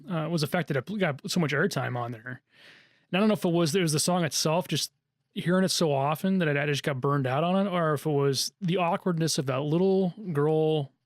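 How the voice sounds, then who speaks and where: A man's voice: fairly high pitch, no echo, clean studio sound. A middle-aged American man hosting a radio music show, chatting informally between songs in a conversational way. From Seattle area, United States. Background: music, guitar.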